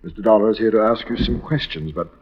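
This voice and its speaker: An actor playing a gentle, protective, courteous doctor in an old radio drama, formal and solicitous. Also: male voice, on the low side, quick pace, husky. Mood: soothing, protective. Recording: dull noisy vintage radio recording.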